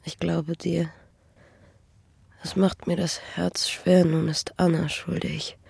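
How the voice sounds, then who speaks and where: Radio drama, dying young peasant woman: very husky and breathy with creaky edges, weak, halting, bitter with sorrow. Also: fairly low pitch, flat delivery, darkish tone, dry recording, full character voice. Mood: bitter, resigned. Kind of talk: German radio play acting.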